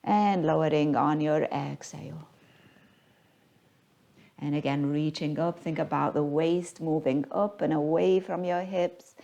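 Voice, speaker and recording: Woman yoga instructor, pretty low voice, really slow soothing guidance. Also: steady tone, creaky, dull audio. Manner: gentle, unhurried, soothing guidance. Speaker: yoga teacher. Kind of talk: guided yoga class instruction.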